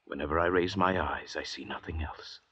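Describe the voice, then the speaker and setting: An actor playing a haughty, cultured French doctor and murderer in an old radio drama, speaking in a formal, grandiloquent way. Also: male voice, a bass voice, a little fast, muffled recording. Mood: assured, ominous. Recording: old radio recording with hiss, clicks.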